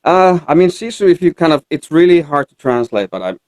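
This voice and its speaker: A man from Finland with a Finnish accent, chatting casually as an interview guest in a halting way. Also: a little high, big pitch swings, a little mellow, dry recording, clean studio sound. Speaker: guest country singer-songwriter. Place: radio studio.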